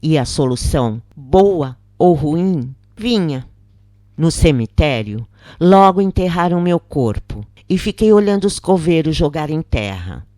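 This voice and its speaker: A narrator reading a scripted first-person account in measured, deliberate phrases with pauses. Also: medium pitch, lively intonation, dark tone, no echo, studio quality.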